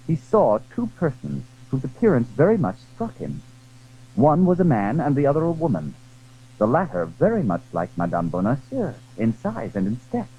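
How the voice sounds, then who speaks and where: A man narrating a period adventure story in a measured, literary, dramatic style. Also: mid-range voice, slightly rough, dull audio.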